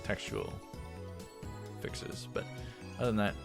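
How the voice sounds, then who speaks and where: A man's voice: takes it slowly, loud music behind the voice. A man giving casual let's play commentary and reading aloud, rambling a bit and correcting himself in asides. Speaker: let's play host reading a visual novel.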